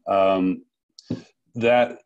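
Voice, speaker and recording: A man interviewing conversationally, halting and repeating words as he thinks. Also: deep voice, fairly even intonation, a little mellow, clear, resonant tone, highs cut off. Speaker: interviewer, festival co-director.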